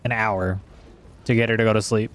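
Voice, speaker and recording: A man with a slightly hoarse voice, chatting casually and haltingly while livestreaming a game. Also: lowish voice, fairly even intonation. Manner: rambling, halting, self-correcting. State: hoarse from singing.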